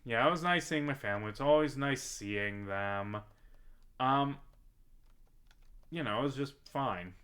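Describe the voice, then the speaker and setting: A man's voice: mid-range voice, unhurried, long pauses, a bit bright. An American man chatting casually over gameplay in a rambling, comically exasperated way, swearing now and then. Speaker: streamer recording a gaming vlog.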